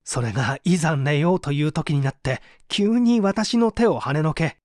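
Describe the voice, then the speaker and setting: Man acting an anguished confessing painter, swinging pitch. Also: lively intonation, moderate pace, a little mellow, no room sound, clean studio sound, lightly acted. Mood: remorseful, subdued. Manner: polite, confessional, emotive. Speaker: narrator and reader. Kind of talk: dramatic reading of a mystery story, radio drama.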